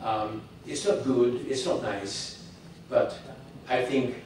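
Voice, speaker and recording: Older man, guest speaker, halting opinionated delivery, miked from afar in a big echoey hall. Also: mid-range voice, darkish tone, recorded from a distance, a little hiss or hum. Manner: halting, many restarts, opinionated. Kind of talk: public talk with audience questions. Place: hall with audience.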